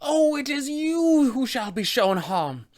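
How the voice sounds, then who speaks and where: A man voicing an ancient, fanatical witch from a fantasy roleplaying game, speaking in slow, theatrical, drawn-out phrases. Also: very high voice, very animated, pitched above the performer's own voice, heavily acted. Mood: menacing, vengeful. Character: ancient wicked witch, 400 years old, fanatically devoted, menacing.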